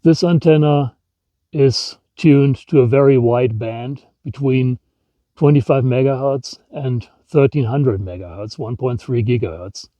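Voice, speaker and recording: A man narrating a scripted equipment demonstration in a measured, matter-of-fact, explanatory way. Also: mid-range voice, warm tone, highs cut off. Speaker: presenter, radio scanning enthusiast.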